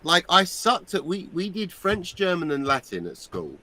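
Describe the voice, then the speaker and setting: A man's voice: sits high, wildly swooping pitch, moderate pace, sharp tone. A man joking and teasing crudely in casual stream banter. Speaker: guest on a stream.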